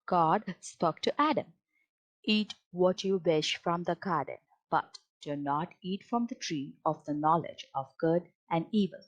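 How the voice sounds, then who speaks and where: Lower-pitched woman reading a Bible storybook. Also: a little slow, rough recording. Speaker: storybook reader. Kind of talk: read-aloud children's Bible story.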